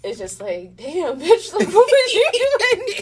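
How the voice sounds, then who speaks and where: A woman's voice: very high-pitched, wildly swooping pitch, takes it slowly, over a noisy crowd. A woman in a casual, reflective interview, speaking in a slangy, meandering way as the guest. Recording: distant mic, faint background noise.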